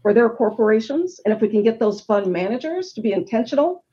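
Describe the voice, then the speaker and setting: Middle-aged woman, panel guest, higher mellow voice, earnest explainer, muffled call audio. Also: expressive, quick pace. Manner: earnest, explanatory, lists facts and figures. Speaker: panel guest on supplier diversity. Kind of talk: webinar panel discussion.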